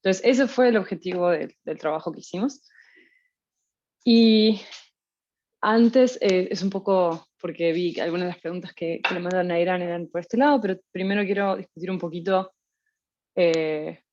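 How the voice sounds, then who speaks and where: A woman with a Uruguayan Spanish accent gives a casual guest lecture, easygoing and full of small fillers and restarts. Her voice sits a little low for a woman. The audio is somewhat muffled and lo-fi. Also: moderate pace. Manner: relaxed, many fillers and restarts. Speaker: guest lecturer, postdoctoral researcher. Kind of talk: informal academic talk.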